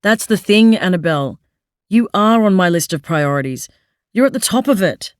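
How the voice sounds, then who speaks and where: A woman's voice: lowish voice, animated, moderate pace, dry recording, clean studio sound, lightly acted. A woman reading the lines of a blunt, earnest grown man who turns tender, in an audiobook. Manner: blunt, forthright, then tender.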